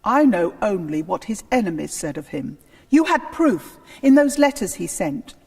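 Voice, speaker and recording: In a reverberant hall, a woman reads as a troubled British king of Tudor times, proud and torn by doubt. The voice is slightly husky, in a storybook telling. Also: mid-range voice, big pitch swings, moderate pace, darkish tone.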